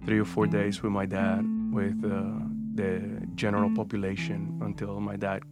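A man, telling his own life story in a thoughtful, unhurried way full of pauses and fillers. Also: fairly low pitch, completely flat delivery, over loud music. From Cuba. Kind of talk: personal first-person storytelling.

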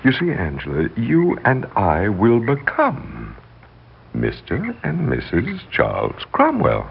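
Deep, creaky-voiced coaxing schemer. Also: male voice, huge pitch swings, exaggerated voice. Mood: smug, scheming. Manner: insinuating, deliberate, coaxing. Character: scheming, persuasive male servant plotting murder for money. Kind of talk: 1960s radio drama acting. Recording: dull, noisy 1960s radio recording.

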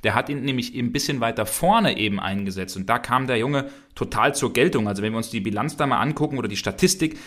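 Man, Hessian accent, rapid, filler-heavy casual talk. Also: mid-range voice, fairly bright tone. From Hesse. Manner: chatty, quick, many fillers. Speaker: podcast co-host. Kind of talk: casual football betting chat.

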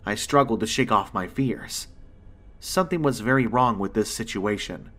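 A man reading a scripted first-person horror story as its narrator, with dramatic, suspenseful pauses. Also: lowish voice, a bit bright.

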